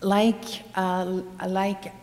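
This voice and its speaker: A middle-aged woman from Norway giving a gentle devotional talk, telling stories with wry humor. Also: medium pitch, little pitch movement, very slow, in a large hall. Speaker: guest speaker.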